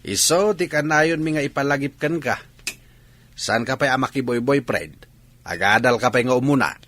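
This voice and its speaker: A man's voice: mid-range voice, moderate pace, fairly bright tone, close to an everyday voice. A male actor with an Ilocano accent playing a strict but caring middle-aged father in a radio drama. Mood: firm, caring.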